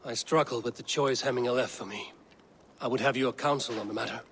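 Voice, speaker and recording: A man playing a resolute Viking warrior in a video game cutscene, speaking in a measured, commanding way. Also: mid-range voice, fairly bright tone, slight background noise, natural voice. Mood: earnest. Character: resolute Viking warrior, stern adult male peacemaker.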